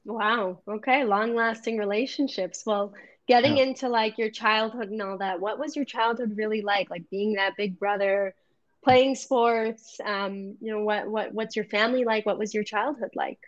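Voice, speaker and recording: A woman's voice: mid-range voice, steady tone, normal speed, dry recording. A woman hosting a podcast who asks long, chatty questions and peppers them with "like.". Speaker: podcast host. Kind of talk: casual interview chat. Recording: dull, dry, lo-fi sound.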